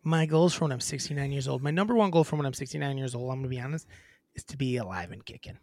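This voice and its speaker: A woman's voice: rumbling low, racing, a little mellow, no room sound. A young woman co-hosting a podcast, blunt and crude, teasing her co-host in casual chat.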